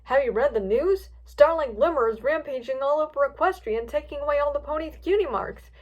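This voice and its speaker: A woman's voice: high-pitched, brisk, highs cut off, pitched above the performer's own voice, lightly acted. A woman voicing a blunt, alarmed passer-by pony in a story, speaking emphatically.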